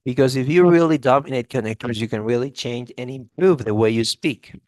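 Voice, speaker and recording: A man's voice: medium pitch, a little fast, a little mellow, no room sound. A male English teacher giving a classroom grammar lesson, often checking in with "okay?" and restarting phrases.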